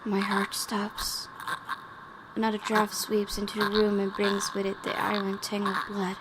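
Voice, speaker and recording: A young woman with a slightly raspy voice reads a horror visual novel aloud at a medium pitch, hushing some lines down to near whispers for effect. Her asides are hesitant and full of fillers. Loud game music plays under her. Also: completely flat delivery, measured pace, very noisy. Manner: hesitant asides with frequent fillers, dramatic hushed reading. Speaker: let's play host.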